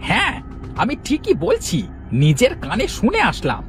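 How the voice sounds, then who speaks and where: Man playing a high-voiced, excitable villager. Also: moderate pace, soft background music, heavily acted. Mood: curious, surprised. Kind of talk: children's cartoon story acting.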